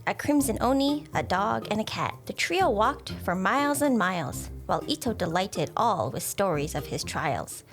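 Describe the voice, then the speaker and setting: A woman narrating a rhyming storybook tale in a theatrical, expressive way. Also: mid-range voice, moderate pace, few pauses. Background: music.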